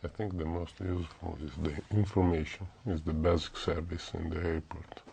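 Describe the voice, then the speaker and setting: A man's voice: sits low, flat delivery, normal speed, gravelly, warm tone, a little hiss or hum. A man from Italy with an Italian accent, a candidate in a speaking exam, answering hesitantly and searching for words. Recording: dull lo-fi recording.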